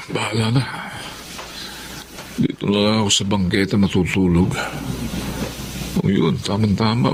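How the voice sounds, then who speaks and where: A man's voice: lowish voice, creaky, noisy background. A man acting a grieving, stubborn, hard-drinking widower in a Filipino radio drama, with dramatic, weary delivery. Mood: weary muttering.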